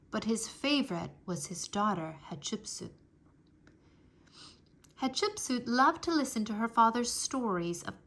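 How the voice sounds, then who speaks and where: A woman reading a history book aloud for children in an expressive, deliberate storytelling manner. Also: medium pitch. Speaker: read-aloud narrator.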